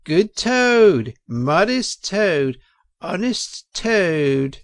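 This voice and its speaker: A man voicing a smug, mocking chief weasel in a storybook, squeaky and given to mock-formal speechmaking. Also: sing-song, extremely slow, heavily acted. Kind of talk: audiobook character voice.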